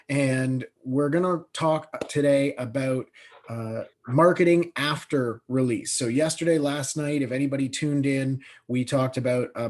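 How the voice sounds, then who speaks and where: A man moderating an online panel over a video call, talking haltingly with lots of fillers. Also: mid-range voice, darkish tone. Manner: halting, with many fillers and restarts.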